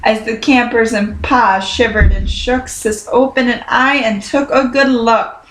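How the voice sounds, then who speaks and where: A woman reading a children's picture book aloud in a careful, expressive storytelling manner. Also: a little high, measured pace, slight background noise. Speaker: storybook reader. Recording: roomy, echoing sound.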